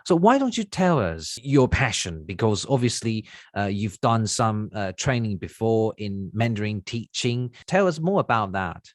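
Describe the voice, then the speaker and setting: Lively British man hosting. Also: lowish voice, moderate pace, hardly any pauses, no echo, studio quality. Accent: British English. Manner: enthusiastic, encouraging interjections. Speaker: host. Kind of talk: casual interview.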